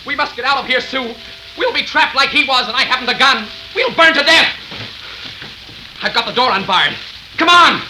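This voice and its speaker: An actor playing a stern, accusing, level-headed rival in forceful 1930s radio horror style. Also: male voice, very high-pitched, lively intonation, moderate pace, pauses often, heavily acted. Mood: urgent. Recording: faintly noisy 1930s radio recording.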